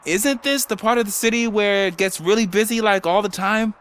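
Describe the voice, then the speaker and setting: A man's voice: high voice, fairly quick, a bit bright. An actor playing an eager, chatty young male rookie cop in a video game, with a casual, wry way of talking.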